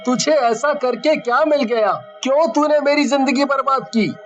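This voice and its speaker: A man's voice: high voice, slow pace, fairly bright tone, muffled recording, soft background music. A man voicing the anguished ghost of a wronged village man in a cartoon story, with a plaintive, wailing delivery. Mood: indignant. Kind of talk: Hindi cartoon story dialogue.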